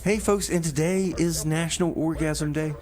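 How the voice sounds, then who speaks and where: A man's voice: on the high side, fairly even intonation, moderate pace, a crowd in the background. A man hosting a community music radio show. He talks casually, in a loose, joking and rambling way.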